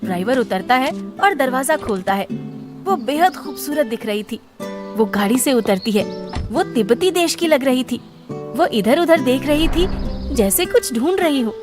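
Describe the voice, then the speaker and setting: High-voiced woman narrating a cartoon story. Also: animated, normal speed, music in the background.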